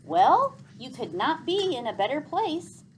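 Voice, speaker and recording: An assured woman healer from a storybook, read aloud by a woman. She explains things formally and plainly. Her pitch is fairly high and varied, with background noise. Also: big pitch swings, measured pace.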